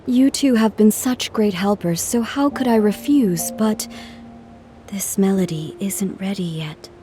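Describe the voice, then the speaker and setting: An actress playing a gentle, earnest traveling musician in a video game, speaking politely and in measured phrases. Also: female voice, mid-range voice, slight background noise, close to an everyday voice. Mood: grateful, gentle. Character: gentle, earnest female traveling musician, composed but careworn. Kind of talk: video game cutscene acting.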